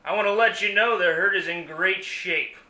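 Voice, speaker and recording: A man's voice: high-pitched, moderate pace, bright, forceful tone. A man playing a hearty, gallant, folksy cowboy hero in a spoof western radio serial. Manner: hearty, gallant, self-assured. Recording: roomy echo.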